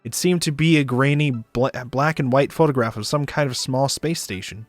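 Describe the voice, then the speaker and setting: A man's voice: medium pitch, moderate pace, no echo, faint music behind. A man narrating a visual novel in the first person on a stream, with casual asides.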